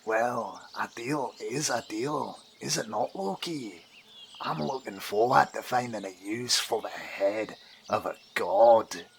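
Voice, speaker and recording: Man playing a gravelly, slow-talking dwarf smith. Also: lowish voice. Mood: menacing. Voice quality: creaky, gravelly. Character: gruff dwarf smith, proud and vengeful. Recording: amateur recording with background noise.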